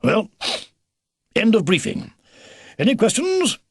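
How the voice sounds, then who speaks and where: Storybook old beaver grandpa played by a man: hearty military bluster, kindly, gruff gravel, very animated delivery. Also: high voice, takes it slowly, no echo, studio quality, voice pushed up, heavily acted. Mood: brisk, rueful. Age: older.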